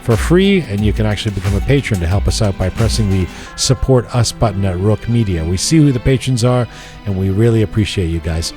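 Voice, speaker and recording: A man hosting a podcast, joking and animated in casual chat and reading sponsor spots. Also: on the low side, a little fast, few pauses, soft background music. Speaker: podcast host.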